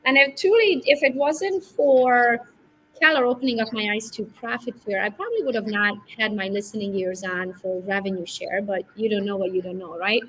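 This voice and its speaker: A woman's voice: medium pitch, moderate pace, a bit bright, slight background noise, rough recording. A middle-aged woman from Romania with a Hungarian-Romanian accent, a guest on a video call interview, talking with confidence and often ending her points with "right?". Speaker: guest real estate broker. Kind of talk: casual interview talk.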